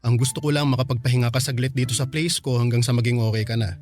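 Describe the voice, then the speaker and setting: A man playing a calm, considerate young bachelor in a Filipino radio romance drama, speaking earnestly and conversationally. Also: medium pitch, quick pace, lightly acted. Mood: earnest, defensive.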